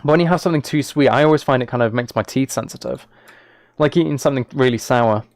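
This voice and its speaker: A man's voice: mid-range voice, quick pace, a little mellow. A man chatting casually on a live game stream, thinking aloud as he plays and replying to viewers.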